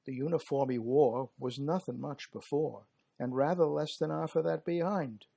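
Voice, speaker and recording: A man reciting dramatic verse as a gruff working-class British soldier of colonial India, theatrical and emphatic, with slangy, clipped word endings. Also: fairly high pitch, moderate pace, warm tone, a little hiss or hum. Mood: reminiscing. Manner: theatrical, emphatic, dropped g's and slangy phrasing.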